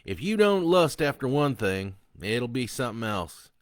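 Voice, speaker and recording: A man's voice: medium pitch, very animated, normal speed, no room sound, studio quality, voice pushed up, lightly acted. A man voicing a crude, gloating male killer on death row who mocks a priest. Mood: leering. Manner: taunting, vulgar, mocking.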